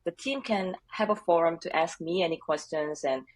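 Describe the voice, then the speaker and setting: A woman from Korea with a Korean accent, a guest answering interview questions in an earnest, measured way with light laughs. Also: on the low side. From South Korea. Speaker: HR leader, podcast guest.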